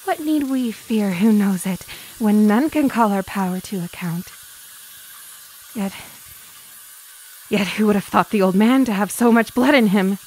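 A woman's voice: medium pitch, unhurried, long pauses, a little mellow, husky, poor audio. An actress playing a guilt-ridden, sleepwalking noblewoman in a Shakespearean radio drama, muttering in broken fragments. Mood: haunted, muttering.

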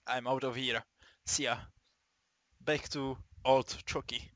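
A young man from the Czech Republic with a Czech accent, giving a casual, halting gaming tutorial, swearing often and restarting his sentences. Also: medium pitch, steady tone, a bit bright, no room sound. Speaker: gaming video maker.

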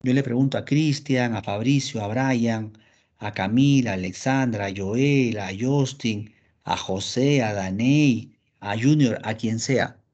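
A husky-voiced man teaches philosophy to his students over a video call. He keeps it lively and acts out their questions as he goes. The sound is dull and lo-fi. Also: medium pitch, a little slow. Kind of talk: online class lecture.

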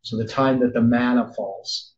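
Man in his sixties, preacher, slow thinking-aloud explanation, echoey room, muffled webcam sound. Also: medium pitch, steady tone. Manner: explains slowly, thinks aloud with many "uh"s and self-corrections. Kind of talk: sermon-style Bible study over screen share. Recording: roomy, slightly dull sound.

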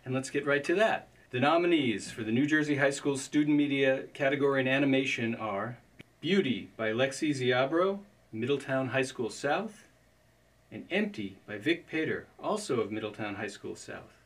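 A man's voice: medium pitch, expressive, moderate pace, far from the mic. A man in his fifties presenting awards, reading out nominees in a scripted presentation. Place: indoor studio with curtain backdrop.

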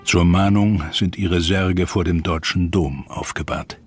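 A man narrating a scripted historical documentary in a measured, dramatic way. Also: sits low, steady tone. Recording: dramatic music behind the voice. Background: music.

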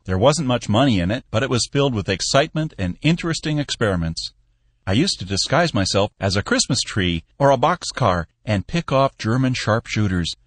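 A man's voice: medium pitch, very animated, a little fast, no echo. A man voicing a confident young ex-soldier salesman in an audiobook, bland, polite and unconcerned. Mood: blandly proud. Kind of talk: audiobook character voice.

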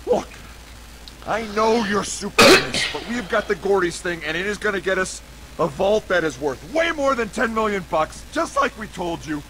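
An actor playing a slick but panicky corporate schemer in a video game, pleading and flustered. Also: male voice, high-pitched, expressive, moderate pace. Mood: nervous pleading. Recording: game audio with noise behind.

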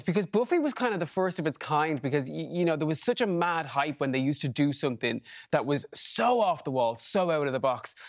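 A man with an Irish accent hosting a breakfast TV show, asking chatty questions with restarts. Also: fairly high pitch. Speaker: TV host. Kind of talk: breakfast TV interviewing. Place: TV studio.

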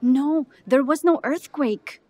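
Woman acting high-voiced office clerk. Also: slow pace, darkish tone, no echo. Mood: matter-of-fact. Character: matter-of-fact Mexican woman telegraph office clerk.

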